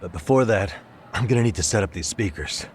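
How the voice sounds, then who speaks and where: A man's voice: on the low side, lively intonation, brisk, a little hiss or hum, natural voice. An actor playing a cool, determined male detective in a video game, talking in a terse, casual, unfazed way. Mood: confident. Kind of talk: English video game voice acting.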